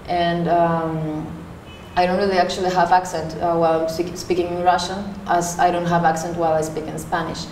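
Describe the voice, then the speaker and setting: A young woman from Panama answering interview questions casually, pausing often with fillers. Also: fairly low pitch, level tone, a little mellow. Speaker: interview guest. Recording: echoey room with faint noise.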